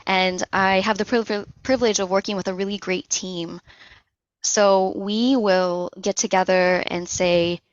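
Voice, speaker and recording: A woman's voice: medium pitch, steady tone, unhurried, dry recording, dull audio. A woman being interviewed, explaining her work step by step in a conversational way with examples. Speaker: interview guest, social engineering analyst.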